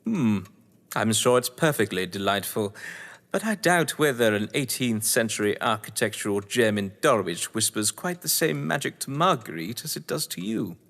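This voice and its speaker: An actor playing a suave, sardonic upper-class English novelist, wordy and condescending, in a theatrical audio drama. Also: male voice, on the low side, lively intonation, normal speed, vocal fry, a bit bright. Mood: mocking politeness. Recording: echoey hall-like reverb.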